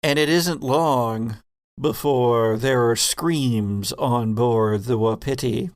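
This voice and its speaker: Slow, deliberate man as game master. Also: medium pitch, no echo, clean studio sound. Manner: deliberate, suspenseful pauses. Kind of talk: dramatic, narrating a tabletop game.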